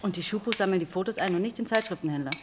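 An actress playing a bold, brisk, saucy young police stenographer in a radio drama. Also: female voice, medium pitch, noisy background, lightly acted. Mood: curious, saucy.

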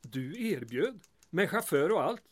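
Man playing a high-voiced, dryly sarcastic mayor. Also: sing-song. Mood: dryly teasing. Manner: dry, deferential wording laced with sarcasm. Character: small-town Swedish mayor, dry, teasing, politely mocking. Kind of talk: audio drama dialogue.

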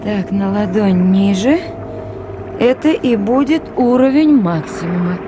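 Actress playing lone woman at river outpost, medium pitch, tender, anxious, self-soothing, Soviet radio drama, clearly noisy recording. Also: animated, unhurried, close to an everyday voice. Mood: thoughtful, resolute. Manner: talking soothingly to a dog and to herself. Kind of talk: Soviet radio play acting. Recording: noisy 1980s radio play, outdoor water ambience.

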